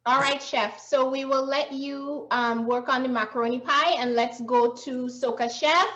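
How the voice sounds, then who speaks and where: Host, female. High voice, encouraging, brief check-ins. Echoey, slightly dull audio. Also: steady tone, moderate pace, a bit bright. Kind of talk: hosting a live cooking call.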